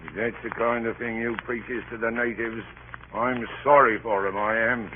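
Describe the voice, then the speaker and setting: An actor playing a sardonic, grumbling ship's officer in a radio drama, with a rough, folksy, sarcastic way of talking. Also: male voice, mid-range voice, steady tone, moderate pace, natural voice. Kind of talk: 1940s radio drama acting. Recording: tinny old radio broadcast, clear hiss.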